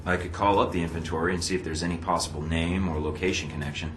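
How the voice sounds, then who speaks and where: Male game lead: laconic, guarded agent, very low register, roomy sound, music underneath. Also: steady tone, fast, a bit bright. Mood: focused. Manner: dry, laconic, deflecting. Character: wry, guarded, battle-weary male secret agent. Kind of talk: video game cutscene dialogue.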